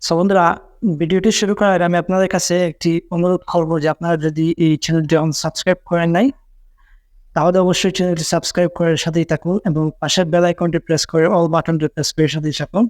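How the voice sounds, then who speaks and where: A man's voice: fairly high pitch, level tone, fast, darkish tone, no echo. A man narrating a how-to video as a tech host, in repetitive, instructional step-by-step phrasing.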